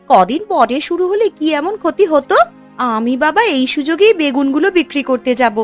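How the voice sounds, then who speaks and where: A woman plays a headstrong young village girl in a cartoon, high-voiced and insistent as she argues her case. Soft music plays underneath. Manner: insistent, argumentative, determined.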